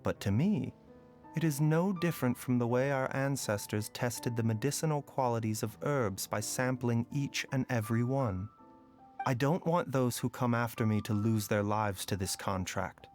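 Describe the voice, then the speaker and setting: A man playing a calm, reflective doctor. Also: mid-range voice, normal speed, faint music behind, natural voice. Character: composed male physician, calm and philosophical. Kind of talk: video game voice acting.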